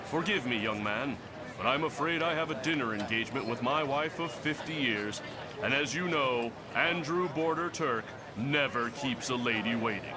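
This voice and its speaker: An actor playing a pompous, genial elderly politician in a motion comic, with a street crowd behind him. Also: male voice, mid-range voice, normal speed, close to an everyday voice. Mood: self-satisfied. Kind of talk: dramatic motion comic voice acting.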